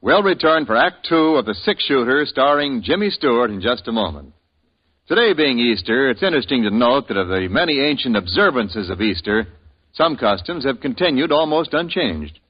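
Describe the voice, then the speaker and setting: Lively 1950s radio announcer. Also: male voice, mid-range voice. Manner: measured, formal delivery. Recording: dull old radio broadcast recording.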